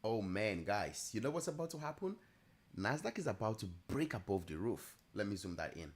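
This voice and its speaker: A man with a mixed Nigerian and Italian accent, chatting casually and thinking aloud on a live trading stream, easygoing and quick to laugh. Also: on the low side, very animated. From Nigeria, raised partly in Italy. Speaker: trading livestream host. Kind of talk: casual live-stream chat answering viewers.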